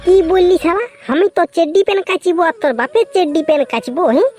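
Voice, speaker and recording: A man voicing an irritable, foul-mouthed young village man in a comic cartoon, with a Purulia Bengali accent and a pitched-up voice. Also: quick pace, darkish tone. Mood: irritated. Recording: faint background music.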